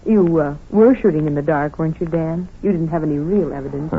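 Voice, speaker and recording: A woman's voice: deep voice, brisk, slight background noise. An actress playing a flirtatious, curious female sidekick to a detective, in 1940s radio drama style. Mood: flirtatious, teasing. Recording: tinny vintage radio broadcast.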